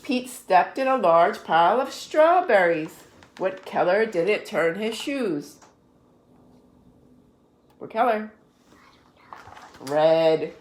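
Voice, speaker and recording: A woman reading a children's picture book aloud in an expressive, deliberate storytelling manner. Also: medium pitch, echoey room. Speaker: story-time reader, adult with a young child.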